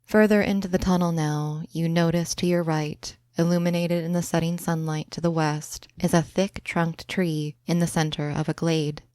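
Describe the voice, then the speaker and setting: A woman reads a guided imagery meditation in a slow, soft, soothing way. Her voice sits low and even, smooth and clear. The recording is close and dry, with no room sound. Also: dry recording. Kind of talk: scripted guided imagery narration.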